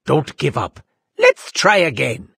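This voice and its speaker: Gritty, raspy soldier. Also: male voice, a little high, wildly swooping pitch, slow, bright, forceful tone, no room sound, exaggerated voice. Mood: firm, clipped. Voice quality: raspy, gravelly. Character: battle-hardened male soldier, urgent and forceful. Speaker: game operator. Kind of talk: video game voice lines.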